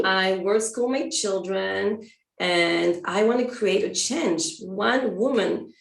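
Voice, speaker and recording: French-accented woman, gravelly, echoey room. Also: medium pitch, recorded from a distance. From France. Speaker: guest, birth coach. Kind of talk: podcast interview.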